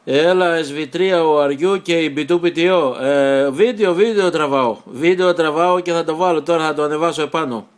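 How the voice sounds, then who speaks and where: A man's voice: a little high, few pauses. A man, an amateur radio operator, bantering casually over a radio transmission.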